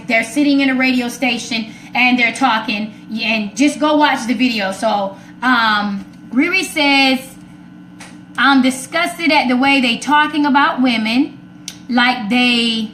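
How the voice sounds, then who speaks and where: A woman's voice: high-pitched, a bit bright. A woman hosting a live talk show, speaking casually and emphatically to her viewers in an outspoken way. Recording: roomy echo, faint background noise.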